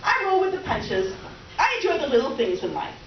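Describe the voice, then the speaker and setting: Echoey distant recording, actress as scatterbrained middle-aged woman, high swooping voice, self-absorbed rambling, stage comedy. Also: huge pitch swings, brisk, exaggerated voice. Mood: breezy. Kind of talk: comic stage reading. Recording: distant echoey room, muffled, faint noise.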